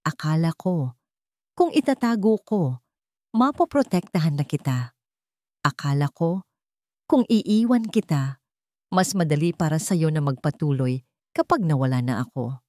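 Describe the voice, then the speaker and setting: Narrator as a desperate dying wife, animated and pleading. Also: female voice, sits low, unhurried, long pauses, dry recording, studio quality. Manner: pleading, confessional. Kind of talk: dramatic story character reading.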